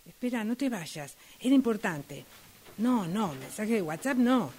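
A woman's voice: medium pitch, expressive, measured pace, darkish tone, a little hiss or hum. An Argentine woman performing a short acted dialogue exercise as a drama workshop student.

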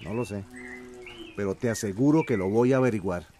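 An actor playing an earnest, determined village farmer in a radio soap. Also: male voice, fairly low pitch, fairly quick, mellow, a little hiss or hum, natural voice. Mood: worried. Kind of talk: radio soap opera acting.

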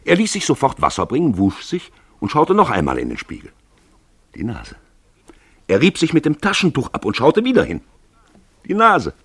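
A man's voice: mid-range voice, huge pitch swings, normal speed, long pauses, fairly bright tone, husky, dry recording. A man narrating a literary radio drama from a script, with a dramatic storytelling manner.

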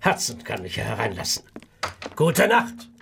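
A man's voice: lowish voice, big pitch swings, moderate pace, creaky, a bit bright, a little hiss or hum, exaggerated voice. A man acting a condescending, lecherous and menacing doctor in a German radio play. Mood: cold, threatening. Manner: insinuating, condescending.

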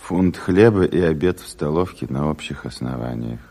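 An actor playing a gruff, firm Bolshevik chairman in a Soviet radio drama, terse and matter-of-fact. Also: very deep voice, animated, normal speed, warm tone. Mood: stern. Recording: noisy, reverberant old radio play recording.

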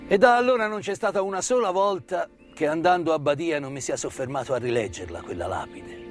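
A man's voice: fairly high pitch, animated. Middle-aged man, theatrical storyteller over music. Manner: deliberate, emphatic phrasing with dramatic pauses. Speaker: stage actor and storyteller. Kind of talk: theatrical narrative monologue. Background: live instrumental music.

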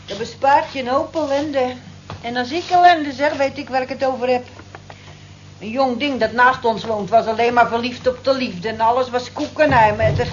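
A woman's voice: on the high side, moderate pace, close to an everyday voice. An actress in an old radio play voicing a worldly-wise, teasing cleaning woman with a dry, scoffing, gossipy manner. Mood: cynical, gossipy. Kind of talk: vintage radio play acting. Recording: dull, hissy old radio play recording.

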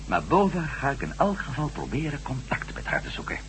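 A determined ex-astronaut turned reporter in a radio drama. His mid-pitched voice swings in lively fashion, confident and set on action. The old recording carries a faint hum and noise. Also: fairly quick, rough recording. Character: resolute ex-astronaut reporter, confident adventurer hatching plans. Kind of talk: Dutch radio drama acting.

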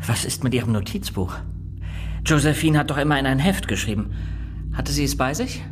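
An actor playing an earnest young amateur investigator who narrates and speaks in a German radio crime play. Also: male voice, mid-range voice, animated, fairly quick, natural voice. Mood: concerned. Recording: studio radio play with music underneath.

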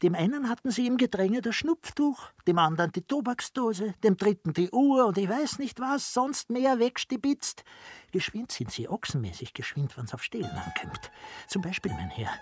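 Blustering sly rogue, wildly swinging pitch. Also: male voice, big pitch swings, moderate pace, husky, no echo, full character voice. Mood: boastful, animated. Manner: ranting, blustering, mock-obsequious. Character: coarse, bigoted, sly rogue posing as a servile simpleton. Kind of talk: German stage comedy radio acting.

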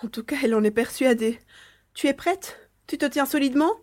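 A woman's voice: sits high, brisk, a little mellow, natural voice. A woman playing a brave young boy on the run in an audio drama, urgently telling what happens. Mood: excited, urgent. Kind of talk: dramatised audio serial acting.

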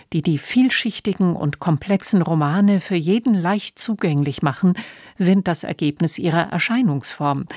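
A woman radio announcer reading a scripted introduction in a measured, factual manner. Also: low-pitched, a little mellow, no room sound, studio quality. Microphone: close studio microphone.

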